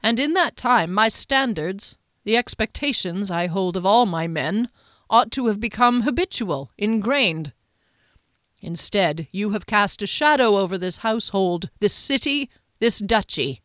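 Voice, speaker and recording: Woman narrator playing a stern middle-aged duke passing judgment; cold, measured, louder and higher than her narration, dry. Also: lively intonation, dry recording. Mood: formal judgment. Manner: measured, formal, icy delivery.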